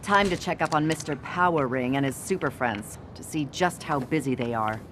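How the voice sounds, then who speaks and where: Woman as a low-voiced, brisk newswoman. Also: slight background noise, close to an everyday voice. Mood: brisk, wry. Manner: confident, wry. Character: brisk, dryly witty investigative newswoman. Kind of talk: video game cutscene acting.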